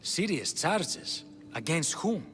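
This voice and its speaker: Scheming Spartan royal for a game: haughty, higher male register, dry sarcasm, music behind. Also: sing-song, takes it slowly, fairly bright tone, heavily acted. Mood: affronted. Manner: haughty, sardonic. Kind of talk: dramatic video game cutscene acting.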